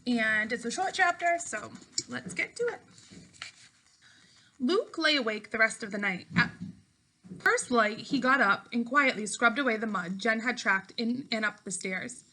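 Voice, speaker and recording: Bright, higher-voiced woman reading aloud. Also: unhurried, rough recording. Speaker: teacher reading a book aloud to fifth graders. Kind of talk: read-aloud storytelling for children.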